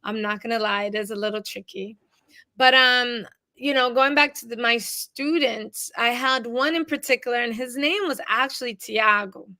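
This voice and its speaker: A young woman from Boston who grew up speaking Cape Verdean Creole first, chatting earnestly as a podcast guest. Her voice is bright and clear, and the sound is close and dry, with no room echo. She talks reflectively and fills pauses with "you know.". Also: on the high side. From Boston, USA. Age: young adult. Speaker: podcast guest, author and teacher. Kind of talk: casual interview chat.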